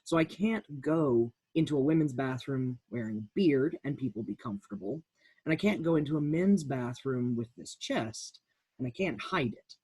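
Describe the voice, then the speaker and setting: Husky-voiced man speaking candidly. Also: medium pitch, lively intonation, normal speed, a little mellow, no echo, rough recording. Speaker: panelist. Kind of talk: candid personal account in a roundtable.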